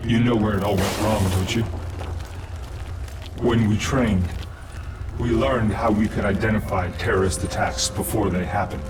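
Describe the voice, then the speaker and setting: An actor playing a grim, jaded soldier in a video game, recounting things in a plain-spoken, colloquial way. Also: male voice, lowish voice, fairly even intonation, normal speed, long pauses, recorded from a distance, over music, lightly acted. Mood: grim, reflective. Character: jaded soldier grimly explaining what went wrong. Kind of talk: cinematic video game narration.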